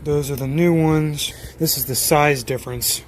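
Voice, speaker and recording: A man's voice: on the high side, normal speed. A man giving casual hands-on repair commentary in an informal, self-deprecating way. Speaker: hobbyist electronics repairer. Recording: clear steady background noise.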